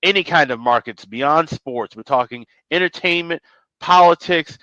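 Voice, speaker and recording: A man's voice: fairly high pitch, moderate pace, bright, forceful tone, dry recording, lo-fi sound. A man co-hosting a sports show, reading a sponsor spot in an enthusiastic, loose and rambling way. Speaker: co-host. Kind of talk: sponsor read in casual chat.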